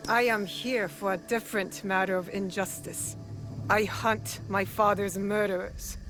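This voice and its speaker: A woman's voice: mid-range voice, fairly even intonation, measured pace, over music, natural voice. An actress playing a young female shinobi, earnest and resolute, in a video game drama. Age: young adult.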